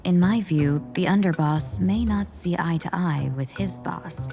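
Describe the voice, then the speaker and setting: A woman's voice: fairly low pitch, a little slow, vocal fry, warm tone, soft background music. A woman playing a composed, careful young female agent in a video game, speaking in a formal, polite and wary way. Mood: grave, thoughtful. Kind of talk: video game story dialogue.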